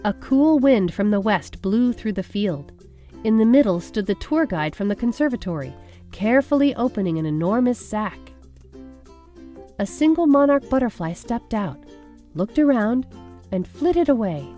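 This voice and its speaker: A woman reading children's picture books aloud in an expressive storytelling style, with music behind her. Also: on the high side, moderate pace. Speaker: storybook reader. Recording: music under the voice. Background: background music.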